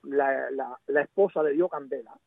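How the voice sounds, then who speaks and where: A man's voice: mid-range voice, moderate pace, creaky. A man with a Cuban accent discussing sports casually as a guest commentator, emphatic and repeating words, over a narrow radio or phone-like connection. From Cuba.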